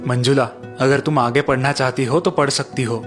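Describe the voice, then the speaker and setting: A man's voice: medium pitch, steady tone, moderate pace, faint music behind, close to an everyday voice. Man acting a kind, supportive young husband. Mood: encouraging, surprised. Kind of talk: Hindi moral-story cartoon dubbing.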